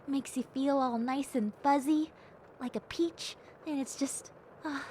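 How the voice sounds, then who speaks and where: A woman voicing a gentle, cheerful young bat girl in a soft, playful and sincere audio roleplay. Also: high-pitched, measured pace, a little hiss or hum, pitched above the performer's own voice. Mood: wistful, dreamy. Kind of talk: audio roleplay addressed to the listener.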